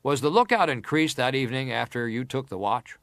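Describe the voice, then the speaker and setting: A man acting a middle-aged senator in a dramatised hearing, putting probing, formal questions in a deliberate, pressing way. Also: mid-range voice, lively intonation, a bit bright, dry recording. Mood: probing, insistent. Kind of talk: dramatised reading of hearing interrogation.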